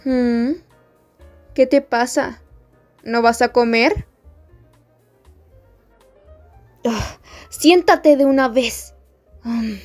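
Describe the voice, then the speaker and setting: A woman playing a haughty, mean high school bully girl in an ASMR roleplay, with a taunting, scornful delivery. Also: slow pace, a little mellow, faint music behind. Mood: curt. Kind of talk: Spanish ASMR roleplay acting.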